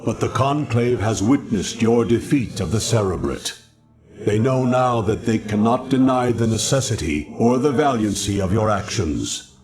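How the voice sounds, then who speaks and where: An actor playing a stately, proud alien warrior elder in a video game, speaking in formal, ceremonious oratory. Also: male voice, fairly low pitch, normal speed, close to an everyday voice. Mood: solemn praise.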